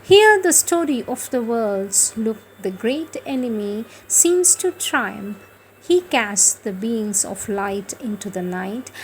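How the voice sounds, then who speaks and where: A woman reciting spiritual prose devotionally, in a solemn, declamatory manner with measured phrasing and pauses. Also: a little high, a little hiss or hum, poor audio. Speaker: reader of spiritual writings.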